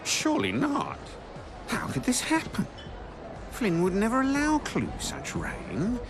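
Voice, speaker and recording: An actor playing a flamboyant, urbane club owner in a video game, with a theatrical, arch, drawn-out delivery. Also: male voice, high voice, huge pitch swings, music in the background, heavily acted. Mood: shocked disbelief. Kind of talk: video game cutscene acting.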